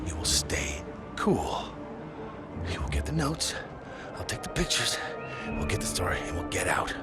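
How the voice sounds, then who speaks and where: An actor playing a jaded, cocky middle-aged photojournalist with a gruff, sarcastic manner in a video game cutscene. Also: male voice, low-pitched, big pitch swings, normal speed, loud music behind the voice. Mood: stern, instructing. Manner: sarcastic, gruff, swearing, bossy.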